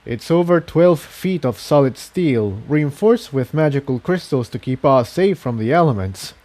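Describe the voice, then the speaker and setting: A man's voice: on the high side, lively intonation, moderate pace, a little mellow, voice pushed up, close to an everyday voice. A man voicing a solemn, earnest male unicorn pony in a storybook. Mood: explaining.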